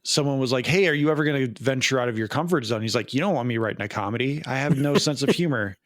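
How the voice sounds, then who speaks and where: A man's voice: mid-range voice, quick pace, no room sound. A man co-hosting a podcast, giving casual, wry and opinionated commentary. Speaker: podcast co-host. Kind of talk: casual film and game commentary.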